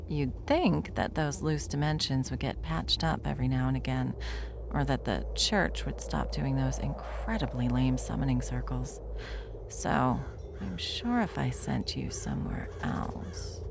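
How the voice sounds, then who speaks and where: A woman playing a bored, smug, powerful villain in an ASMR roleplay, with a wry, taunting drawl and a creaky voice. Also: a bass voice, background noise, exaggerated voice. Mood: mocking, dismissive.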